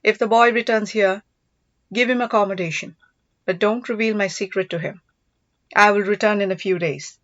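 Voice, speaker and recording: A woman reading the part of a commanding, secretive male spy chief in a storybook, with measured authority. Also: medium pitch, fairly bright tone, no echo, close to an everyday voice. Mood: firm, instructing. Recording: dull, lo-fi, dry close recording.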